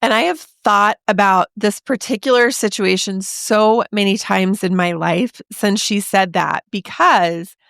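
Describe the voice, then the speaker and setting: A woman in her forties hosting a podcast, talking straight to the listener in a chatty, encouraging way, her voice a little raspy from a sore throat. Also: medium pitch, a little slow, few pauses, fairly bright tone, no echo. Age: 40s. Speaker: podcast host. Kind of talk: casual podcast monologue.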